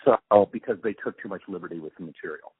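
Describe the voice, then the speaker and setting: A man being interviewed, recalling his work in a casual, halting and reflective way, often trailing off mid-thought. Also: deep voice, husky, dry recording. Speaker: interview guest, radio drama producer and sound mixer. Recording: muffled, narrow phone-like interview recording.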